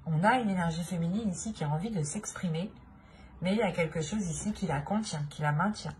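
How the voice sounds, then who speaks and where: A woman's voice: on the low side, a little fast, a little hiss or hum. A woman with a French accent giving a tarot reading, speaking hesitantly, with many fillers and restarts as she searches for her words. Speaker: tarot reader. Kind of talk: tarot card reading to camera.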